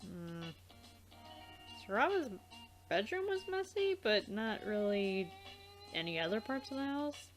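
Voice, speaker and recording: A woman's voice: medium pitch, big pitch swings, unhurried, pauses often. A woman doing casual game commentary with a co-host, bantering in an exasperated way and swearing freely. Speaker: let's player. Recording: game music behind the voice. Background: game music.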